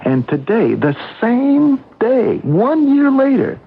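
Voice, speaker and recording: Hesitant, lovestruck man, gravelly edge. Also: very high voice, big pitch swings, slow, exaggerated voice. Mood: eager, nervous. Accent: American. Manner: hesitant, stammering delivery. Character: lovestruck, earnest, nervously eager bachelor. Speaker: actor in a radio mystery play. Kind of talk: 1970s radio drama acting. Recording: tinny, hissy old radio broadcast.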